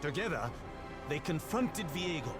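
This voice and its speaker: An actor playing a sly, playful narrator who tells a game's story in a dramatic, teasing way. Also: male voice, a little high, very animated, a little slow. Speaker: narrator of a game story recap. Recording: music under the voice.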